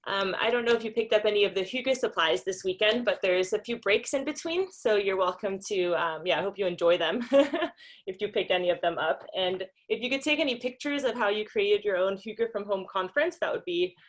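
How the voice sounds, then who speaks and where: A woman's voice: medium pitch, expressive, fairly quick. Woman hosting a casual video-call welcome. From Denmark, raised in Singapore and the US. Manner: informal, many ums, small laughs. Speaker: conference organizer and host. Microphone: computer microphone on a video call.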